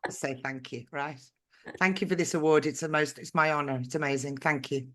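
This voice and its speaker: A British woman hosting a podcast, chatty and encouraging, her voice going hoarse. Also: sits low, steady tone, a little fast, a bit bright. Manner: encouraging, chatty, asks leading questions. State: losing her voice. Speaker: podcast host. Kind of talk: casual interview chat.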